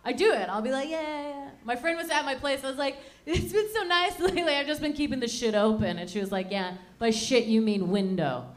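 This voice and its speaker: Canadian lady in her 30s doing standup, high voice, chatty and rambly, handheld mic in a small club. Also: fairly quick, few pauses. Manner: chatty, rambling, self-mocking. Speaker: stand-up comedian and MC. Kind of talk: stand-up comedy set. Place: small dark lounge with a live audience.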